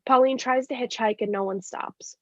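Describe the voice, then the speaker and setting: A woman's voice: a little high, fairly even intonation, moderate pace, fairly bright tone, no echo. A woman reading scripted stage directions in a live staged reading of a play. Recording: dull lo-fi sound.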